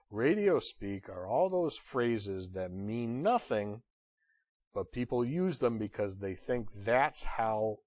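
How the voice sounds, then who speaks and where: A man giving an instructional lecture as a trainer, blunt and direct with wry humor and emphatic pauses on key phrases. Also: on the low side, no echo. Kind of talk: instructional lecture on radio procedure.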